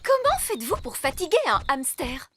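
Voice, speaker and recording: Magical cartoon nanny fairy, self-assured, brisk, theatrical, animated intonation. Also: female voice, high-pitched, soft background music, heavily acted. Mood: brisk, puzzled.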